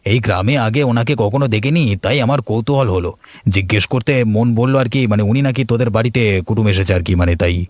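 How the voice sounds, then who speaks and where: A man's voice: medium pitch, quick pace, darkish tone, no echo, natural voice. A man voicing a friendly, sympathetic village shopkeeper who chats and advises like an elder brother in a cartoon. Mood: chatty. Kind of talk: cartoon story dialogue.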